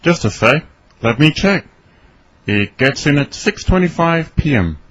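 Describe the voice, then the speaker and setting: A man reading his part in a scripted English conversation for learners, his voice slightly husky. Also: fairly high pitch, animated, measured pace, a bit bright. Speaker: dialogue reader for English learners. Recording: dry, slightly dull studio recording.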